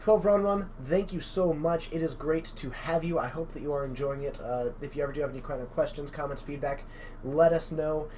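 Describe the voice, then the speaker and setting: A man's voice: a little high, brisk, darkish tone, slight background noise. Chatty male card game commentator. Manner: chatty, welcoming, analytical. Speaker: stream commentator. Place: game store.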